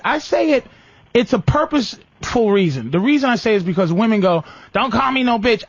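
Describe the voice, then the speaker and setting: A man's voice: sits high, no echo, dull audio. An American man hosting a comedic advice show with callers, talking bluntly and profanely with a teasing edge. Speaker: talk show host.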